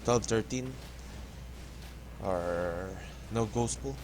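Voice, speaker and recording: Filipino man, low voice, halting game commentary. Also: background noise. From Philippines. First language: Filipino language. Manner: hesitant, halting, restarts words often. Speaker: gaming tutorial host. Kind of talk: casual gameplay commentary.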